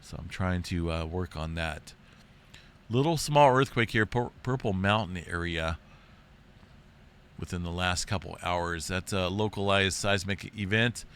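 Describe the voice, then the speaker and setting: A man hosting an earthquake update in a rambling, chatty way, his voice deep and congested from being sick. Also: a little slow, a bit bright, clean tone. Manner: rambling, chatty, says "right?" and "big time" often. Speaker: earthquake and space weather channel host. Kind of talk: casual screen-share update.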